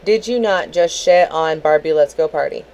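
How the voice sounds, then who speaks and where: A woman's voice: low voice, moderate pace, a little mellow, voice pushed down, rough recording. A woman voicing a mocking heckler who taunts in a sneering way.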